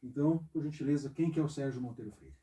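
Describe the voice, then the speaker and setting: A man hosting an interview in a music studio, speaking in a measured way. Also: medium pitch, mellow, recorded from a distance, highs cut off. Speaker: interview host, music professor.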